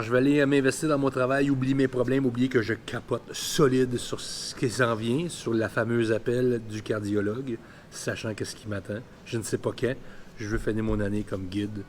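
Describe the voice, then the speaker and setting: A man's voice: on the low side, level tone, normal speed, a little hiss or hum. A man of about fifty with a Quebec French accent, telling a personal story candidly and colloquially in a vlog. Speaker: vlogger.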